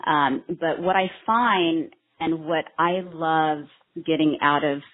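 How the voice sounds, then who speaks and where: Low husky woman on a tinny line. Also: takes it slowly. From Vietnam. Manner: warm, earnest, with many ums and restarts. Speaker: microblading artist and trainer. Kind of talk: casual interview answers.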